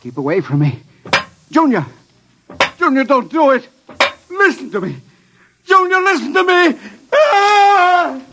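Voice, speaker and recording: Desperate radio-drama professor, extremely high strained pitch, wildly animated, noisy vintage sound. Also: male voice, measured pace, pauses often, full character voice. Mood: terrified pleading. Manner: urgent, high-strung pleading. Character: desperate, frightened professor pleading with his creation. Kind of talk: old-time radio drama acting. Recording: noisy old radio recording.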